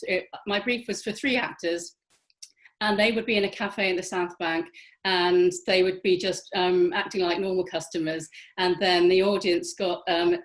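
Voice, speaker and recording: A woman's voice: fairly low pitch, rough recording. A woman from the UK explaining her work in a conversational, articulate way, as a writer in a Q&A. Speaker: writer interviewed on a panel.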